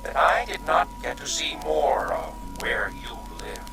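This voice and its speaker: An actor playing a gentle, guilt-ridden creature from another dimension in a radio drama, speaking formally, earnestly and hesitantly. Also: male voice, a little high, wildly swooping pitch, measured pace, over music, poor audio. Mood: guilty, earnest.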